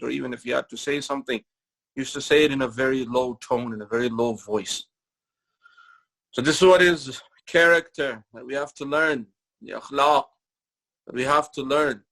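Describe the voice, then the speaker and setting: A man lecturing in a mosque, his voice gravelly with a mid-range pitch. He speaks slowly and deliberately, repeating key phrases, and he breaks into recited Arabic verses before explaining them in English. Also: a bit bright, poor audio. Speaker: imam giving a Ramadan lecture. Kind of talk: sermon-style religious lecture.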